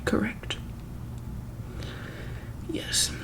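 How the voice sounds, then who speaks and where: A woman doing a soft-spoken, often whispered ASMR roleplay as a calm, caring medic, with a gentle, reassuring bedside manner. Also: very slow. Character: calm, caring female medic at a monster hospital. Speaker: ASMR roleplayer playing a monster hospital medic.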